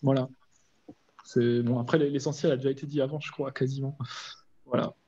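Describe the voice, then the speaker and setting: A man's voice: mid-range voice, fairly even intonation, brisk, warm tone, husky, dull audio. A man on an online panel, talking hesitantly with many fillers and restarts. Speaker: panelist, cross-border employer. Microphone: video call.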